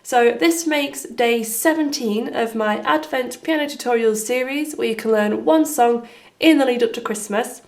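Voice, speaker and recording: A woman with a slightly hoarse voice giving step-by-step piano instruction as the host of a tutorial. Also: a little high, moderate pace. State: slightly hoarse from having been unwell. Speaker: piano teacher and video host. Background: piano playing.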